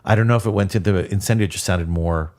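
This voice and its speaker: A man's voice: sits low, fast, a little mellow. A man whose first language is Spanish, a guest musician telling stories in a casual interview, often rambling and correcting himself. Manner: rambling, self-correcting storyteller.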